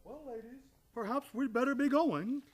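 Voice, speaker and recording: An actor in an old-time radio comedy playing a prim, polite, easily flustered sanitarium superintendent with a formal, fussy manner. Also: male voice, very high voice, normal speed, faint music behind.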